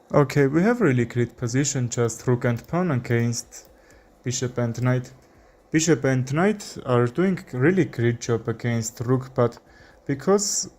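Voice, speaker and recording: A young man with an Armenian accent commentating his own chess game, thinking aloud with frequent fillers and pauses. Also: mid-range voice, a little mellow. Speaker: chess streamer. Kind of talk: live commentary while playing chess.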